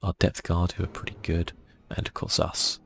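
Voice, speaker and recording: A man speaking softly and casually, thinking aloud as he gives ASMR commentary on a video game. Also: low voice, completely flat delivery, normal speed. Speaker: gaming channel host.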